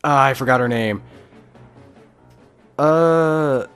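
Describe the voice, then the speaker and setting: A man's voice: mid-range voice, extremely slow, fairly bright tone, clean tone. A man doing casual Let's Play commentary, rambling and thinking aloud as he plays. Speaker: gaming video host. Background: faint game music.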